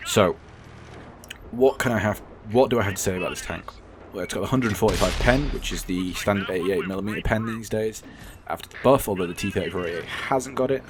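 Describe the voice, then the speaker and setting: Croaky low-voiced man commenting on gameplay. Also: fairly quick, background noise. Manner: rambling, self-correcting, blunt opinions. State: croaky, hoarse voice. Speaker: gaming commentator. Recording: game sounds clearly behind the voice.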